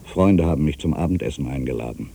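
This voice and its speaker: An actor playing a melancholy writer in his fifties, musing haltingly to himself in a radio play monologue, with a gravelly, husky voice. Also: rumbling low, lively intonation, mellow, full character voice. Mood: wistful, animated. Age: 50s. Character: aging melancholy male writer back in his hometown.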